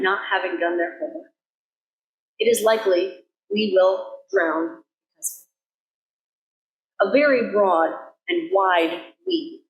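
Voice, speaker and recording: A woman minister reading a sermon in an earnest, measured way, with deliberate pauses between phrases. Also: medium pitch. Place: church sanctuary, indoors. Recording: roomy echo of a sanctuary.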